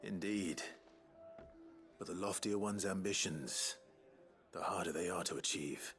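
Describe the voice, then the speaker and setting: An actor playing a modest, steadfast noble hero in a video game, measured, understated and formal. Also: male voice, low voice, fairly bright tone. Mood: thoughtful. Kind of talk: video game character dialogue. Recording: game music behind the voice.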